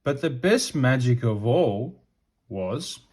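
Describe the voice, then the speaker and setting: Animated man reading slowly to children. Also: mid-range voice, slightly rough. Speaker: storybook reader. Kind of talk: expressive read-aloud narration for children.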